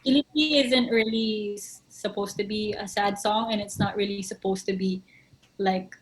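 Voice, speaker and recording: A woman talks through her songwriting in a relaxed interview, slipping between English and Tagalog and pausing to find her words. Her voice sits at an ordinary middle pitch, and she laughs easily as she goes. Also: rough recording. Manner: mixes English with Tagalog, thinks aloud, laughs often. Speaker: band member and songwriter. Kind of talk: casual interview answers.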